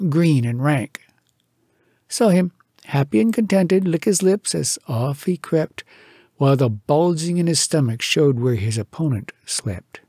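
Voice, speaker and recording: Male poetry reader, theatrical and measured, animated intonation, dry sound. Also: fairly high pitch, breathy edge, no room sound. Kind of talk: dramatic storytelling recitation of humorous verse.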